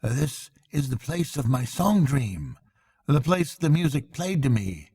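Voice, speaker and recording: A man's voice: medium pitch, big pitch swings, unhurried, a little mellow, husky, pitched above the performer's own voice, exaggerated voice. A man voicing a rapt, joyous water rat in a storybook, exclaiming with breathless, reverent wonder. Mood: hushed awe. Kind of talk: storybook character voice.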